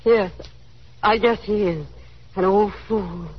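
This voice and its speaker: An actress playing an earnest young daughter in a 1930s radio drama, pleading and self-blaming. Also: female voice, medium pitch, takes it slowly, husky. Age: young adult. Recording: muffled, noisy 1930s radio broadcast recording.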